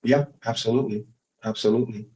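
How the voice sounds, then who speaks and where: A middle-aged man, a guest musician in a remote interview, talking in a relaxed way and often repeating himself with "you know". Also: mid-range voice, quick pace, poor audio. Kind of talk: casual interview chat.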